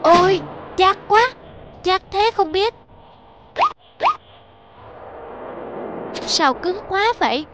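Performer voicing a high, plaintive little boy. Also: animated, a little slow, noisy background. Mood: whining. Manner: exclaiming, childlike, plaintive. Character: naughty, sulky little village boy, tearful for mother.

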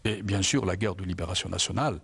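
Older guest, gravelly and husky, reflective, stumbles over repeats, lapel mic in a studio. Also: male voice, low voice, fast, fairly bright tone. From Algeria. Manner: reflective, repeats words while searching. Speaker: author and jurist, guest. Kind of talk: studio interview. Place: TV studio set, indoors.

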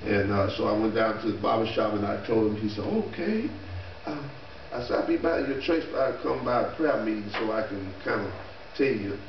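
A man's voice: on the low side, expressive, a little fast, noisy background. A middle-aged man, a pastor, telling a story casually from the pulpit, laughing and dropping amens as he goes. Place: small church sanctuary, indoors. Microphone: podium mic at a distance. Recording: echoey church room, distant camera mic, dull.

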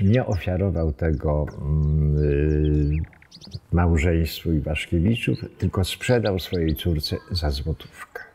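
A man, telling local history informally, haltingly and with wry asides. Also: low voice, darkish tone. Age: 70s. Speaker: villa owner telling its history. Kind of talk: informal storytelling to camera, reminiscing. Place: open wooden veranda outdoors among trees. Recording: outdoor background noise, birdsong, small clicks.

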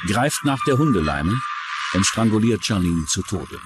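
Low-pitched male true-crime narrator, lively intonation. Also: normal speed, heavy background noise. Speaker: documentary narrator. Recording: music bed under the narration. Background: background music.

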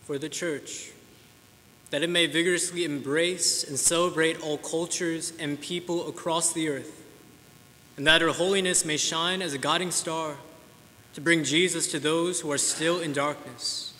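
A man reading intercessions aloud as a lector, in a steady, formal way. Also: a little high, measured pace. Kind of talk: reading prayers of the faithful. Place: large church sanctuary. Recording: long church reverb, faint noise.

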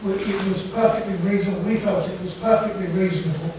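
A man's voice: high-pitched, fairly even intonation, fast, a little mellow. A man giving a formal reply as a councillor at a public meeting. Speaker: councillor answering questions. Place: large wood-panelled council meeting room. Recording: echoey, noisy meeting room, distant mic.

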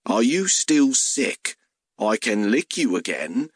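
Man putting on a slow, simple-minded hellhound. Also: mid-range voice, very animated, husky, no room sound, clean studio sound, exaggerated voice. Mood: earnest, simple. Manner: slow, childlike literal phrasing. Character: male talking hellhound, simple-minded, literal, food-obsessed.

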